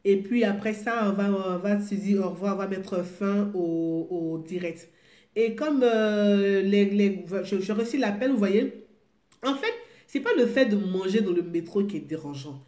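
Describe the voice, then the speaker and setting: A woman's voice: medium pitch, warm tone. A woman with a cold hosting a live call-in show, chatting casually, hesitating with many fillers and repeating herself. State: has a cold. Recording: echoey room with music playing.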